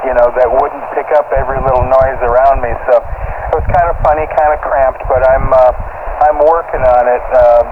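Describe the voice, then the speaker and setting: A man's voice: mid-range voice, fairly even intonation, normal speed. An older American man chatting casually as a ham radio operator over a shortwave transmission. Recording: tinny, very noisy shortwave radio reception. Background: loud radio static and noise.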